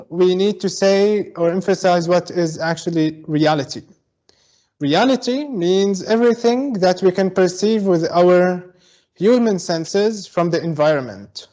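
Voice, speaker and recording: A man from Syria, a guest advocate for mixed reality, explaining step by step with many pauses and fillers over a video call. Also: high-pitched, a little mellow, dull audio. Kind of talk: explanatory online talk. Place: home in Berlin.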